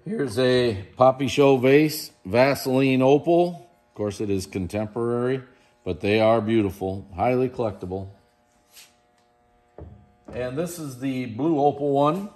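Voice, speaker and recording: A middle-aged man talks through auction glassware in a relaxed, enthusiastic manner, his voice at an ordinary pitch. He speaks into a handheld camera in a large indoor warehouse space. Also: unhurried, faint music behind. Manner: enthusiastic, chatty, praises pieces. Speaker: auctioneer presenting lots. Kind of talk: casual walkthrough of auction items.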